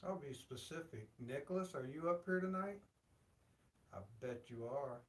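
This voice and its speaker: A man's voice: on the high side, lively intonation, moderate pace, far from the mic. A man on a ghost hunt, blunt and wisecracking. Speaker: paranormal investigator. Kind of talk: casual chat during a ghost hunt.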